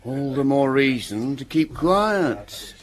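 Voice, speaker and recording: An actor playing a gossipy, sardonic village innkeeper in a radio drama, with a dry, knowing, teasing manner. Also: male voice, mid-range voice, animated, slow.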